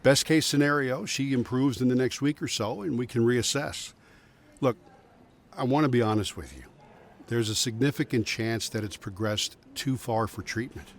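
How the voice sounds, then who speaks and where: A man playing a frank, cautious doctor in an audio drama, conversational, measured and a little hesitant. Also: mid-range voice, lightly acted. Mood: grave, frank. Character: frank, cautious male doctor breaking bad news.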